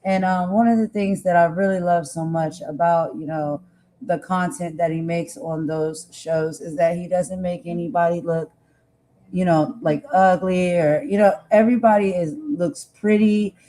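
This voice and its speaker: A woman host with a slightly raspy voice, chatting casually and loosely with lots of fillers and slang. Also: on the low side, fairly even intonation, moderate pace, mellow. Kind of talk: casual chat.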